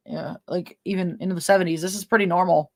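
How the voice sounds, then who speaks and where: A woman's voice: lowish voice, steady tone, fast talker. A woman co-hosting a true-crime podcast, moving between reading notes and informal banter with her partner. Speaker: podcast co-host. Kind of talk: true-crime podcast talk, part read from notes, part casual chat.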